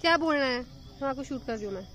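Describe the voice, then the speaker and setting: A woman's voice: high-pitched, lively intonation, very fast, fairly bright tone. A young woman doing casual, playful vlog commentary, mixing in English phrases, recorded on a handheld phone. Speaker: travel vlogger.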